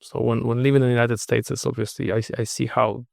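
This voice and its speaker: A middle-aged man from Russia with a Russian accent, speaking as a podcast guest in a measured, thoughtful way. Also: medium pitch, flat delivery, vocal fry, darkish tone, dry recording, studio quality. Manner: measured, thoughtful, with frequent restarts. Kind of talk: casual interview conversation.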